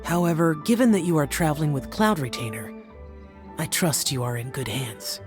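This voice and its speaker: A man's voice: on the high side, lively intonation, normal speed, over music, close to an everyday voice. A man voicing a stern, reserved guardian spirit who speaks formally and measuredly. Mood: solemn. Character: aloof, stern young male guardian spirit.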